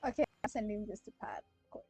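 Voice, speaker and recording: A young woman from Malaysia, an excitable game streamer chatting casually and exclaiming to her live viewers. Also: mid-range voice, creaky, slightly rough, no echo. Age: young adult.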